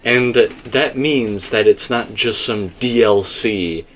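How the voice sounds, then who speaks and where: Man rambling through theories, lowish voice, noisy recording. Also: slow pace, a bit bright. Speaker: co-host discussing video game theories. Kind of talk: casual, rambling fan theory discussion.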